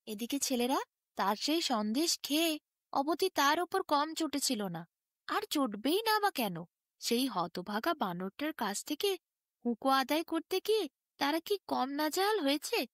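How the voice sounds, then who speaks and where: A woman tells a bedtime tale in a high voice. Her intonation is very lively and expressive, rising and falling often. The sound is dry, with hardly any room in it. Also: no room sound. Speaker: storyteller. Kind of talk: expressive Bengali bedtime story narration.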